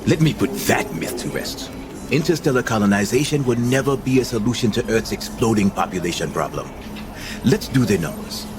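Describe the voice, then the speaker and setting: An actor playing a cynical, world-weary visionary leader in a science fiction audio drama, theatrical and dismissive. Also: male voice, medium pitch, noisy background, close to an everyday voice.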